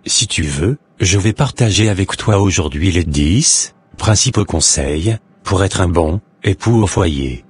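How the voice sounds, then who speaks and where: A preachy, wise neighbor. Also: male voice, low-pitched, expressive, measured pace, breathy edge, exaggerated voice. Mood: counseling. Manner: earnest, preachy, counseling.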